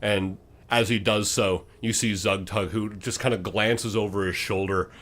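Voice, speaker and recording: A man narrating a tabletop game dramatically as game master, with deliberate, suspenseful phrasing. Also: lowish voice, fairly even intonation, fairly bright tone. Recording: clear background noise and ambience.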